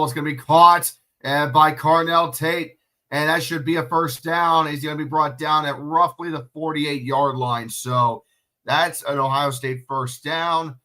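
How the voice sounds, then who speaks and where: A man's voice: on the high side, sharp tone. A sick, sniffly man doing casual solo play-by-play of a football game, swearing and chatting with viewers. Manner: swearing, chatty, answering chat questions. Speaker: livestream play-by-play commentator.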